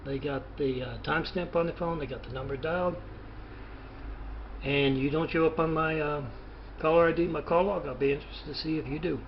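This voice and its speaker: A man rambling haltingly through a phone interview, with hesitant pauses and a muffled, noisy recording. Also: on the high side, steady tone. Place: phone call.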